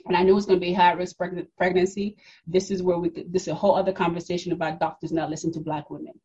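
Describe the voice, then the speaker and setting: A woman's voice: on the low side, level tone, very fast, mellow, slightly rough, highs cut off. A woman in her forties from Congo, raised in New York, telling her personal story as a podcast guest, reflective, with many fillers and restarts. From Democratic Republic of the Congo, raised in New York. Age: 40s.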